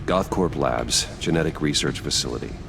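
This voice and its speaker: Brooding crime fighter, rock-bottom pitch, gritty creak, controlled delivery, video game drama. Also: male voice, normal speed, background noise. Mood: focused, serious. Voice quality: deep gravelly voice. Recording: loud music under the voice.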